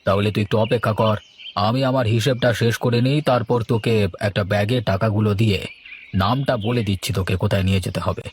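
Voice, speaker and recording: A man's voice: lowish voice, fairly even intonation, fast, a little hiss or hum, close to an everyday voice. A man playing a moneyed village employer in a cartoon, questioning and instructing in a condescending way. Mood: cautious, commanding. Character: village boss, cautious moneyed employer giving orders. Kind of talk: Bengali cartoon voice acting.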